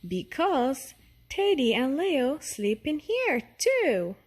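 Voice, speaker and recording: A woman puts on a little platypus girl from a children's storybook. She is shy and childlike, with a high voice. She speaks simply and takes her time over the words. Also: sing-song, takes it slowly, heavily acted. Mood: matter-of-fact.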